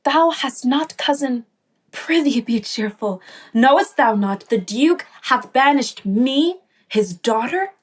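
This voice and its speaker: A woman's voice: sits high, expressive, slow, breathy edge, full character voice. A woman playing a devoted, resolute young noblewoman in a Shakespearean radio play, with earnest formal delivery. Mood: tender concern.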